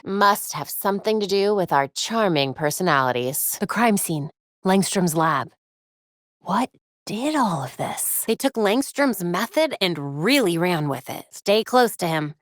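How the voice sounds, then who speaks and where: A young female vigilante from a video game, speaks in a medium-pitched voice. She is a sharp-minded detective, determined and wry, quick with a quip but sincere. The lines are short, scripted and cleanly dry, with no room sound. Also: no room sound. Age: young adult.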